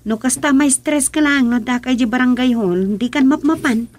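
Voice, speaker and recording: A woman's voice: high-pitched, normal speed, natural voice. A woman playing a sympathetic, concerned young village wife in a rural comedy radio drama.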